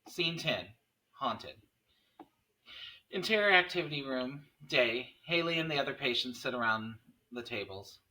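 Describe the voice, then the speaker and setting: A man's voice: medium pitch, lively intonation, unhurried, bright, forceful tone, rough recording. A man narrating scene headings and stage directions from a script at a table read.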